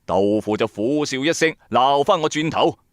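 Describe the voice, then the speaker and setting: A man narrating a radio drama in the first person, colloquial, wry and expressive. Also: mid-range voice, moderate pace, no room sound. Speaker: storyteller, narrator.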